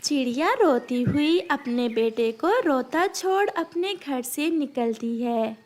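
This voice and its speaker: Slow high-pitched woman narrating a story. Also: animated, a little mellow. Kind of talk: scripted children's story narration.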